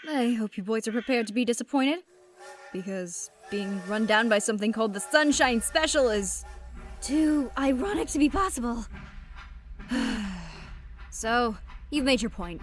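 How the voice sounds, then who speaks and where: A woman's voice: on the high side, lively intonation, normal speed, natural voice. A woman voicing a cheeky, verbose, manic male rogue, theatrical and flippant, in a comic fan dub.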